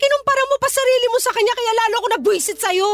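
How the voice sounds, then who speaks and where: A woman's voice: very high voice, racing, fairly bright tone. A woman playing a hurt, indignant young woman in a radio drama, scolding and emphatic, with a Filipino accent. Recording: music behind the voice.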